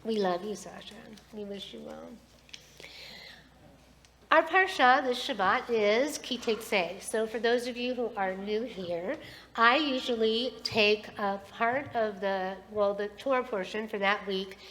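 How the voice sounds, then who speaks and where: A middle-aged woman leads a synagogue service, speaking a heartfelt, affectionate blessing. Her voice sits high and sounds bright and clear, and she takes her time with each phrase. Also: a little slow, a little hiss or hum. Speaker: clergy leading a service. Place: synagogue sanctuary.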